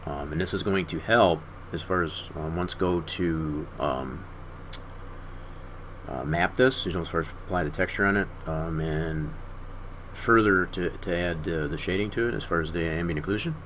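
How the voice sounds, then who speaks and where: A man streaming his 3D modeling work, talking through each step in an unhurried way with many fillers, hoarse and often clearing his throat. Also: deep voice, a bit bright, a little hiss or hum. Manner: unhurried, filler-heavy thinking aloud. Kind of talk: casual screen-share tutorial.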